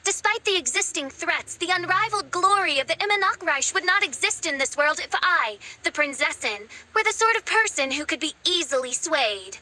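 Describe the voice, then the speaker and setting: High, bright, imperious young princess. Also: female voice, lively intonation, normal speed, hardly any pauses, exaggerated voice, rough recording. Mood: proud, lofty. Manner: pompous, archaic theatrical diction. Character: haughty theatrical teenage princess, grandiose and imperious. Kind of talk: anime game voice acting.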